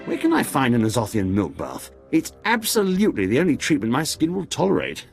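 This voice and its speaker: An actor voicing a pompous, cowardly, pampered Imperial official in a video game, with a fussy, self-absorbed and haughty manner. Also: male voice, medium pitch, huge pitch swings, a little fast, gravelly, faint music behind. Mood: blithe, haughty. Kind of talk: comic video game acting.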